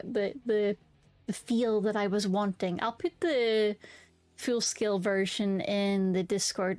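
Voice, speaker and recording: A woman's voice: medium pitch, darkish tone, no room sound. Sweary woman streamer, dry close mic. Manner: candid, sweary and rambling, with frequent restarts. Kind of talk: casual chat while streaming a game.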